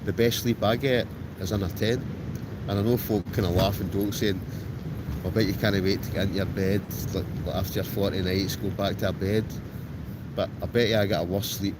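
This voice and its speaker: A man's voice: low-pitched, fairly even intonation, fairly quick. A Scottish man livestreaming from a tent, chatting casually with viewers in a rambling way, with lots of restarts. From Scotland. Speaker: wild camper livestreaming. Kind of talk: casual livestream chat reading viewer comments. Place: in a tent outdoors in a storm. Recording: loud wind noise around the tent. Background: strong wind.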